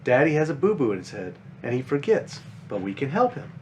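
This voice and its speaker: An eager, caring five-year-old big sister bunny from a picture book, read out by a man. The pitch swings in a lively way as things are explained simply to a younger child. Faint noise sits behind the voice. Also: fairly quick, lightly acted. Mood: earnest, caring.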